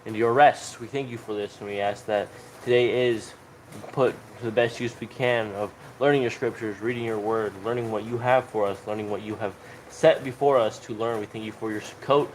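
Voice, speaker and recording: A man's voice: fairly low pitch, steady tone, brisk, slight background noise, poor audio. A man leading a spoken prayer in a fervent, flowing voice, stringing phrases together one after another.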